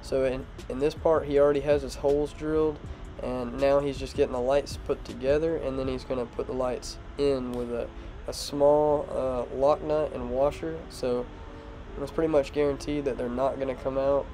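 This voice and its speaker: A man's voice: medium pitch, normal speed, rough recording. A young man with a stuffed-up nose, casually explaining a truck project in a home garage vlog with voiceover. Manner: relaxed, plain explanatory talk with fillers. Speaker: automotive vlogger. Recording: music playing behind.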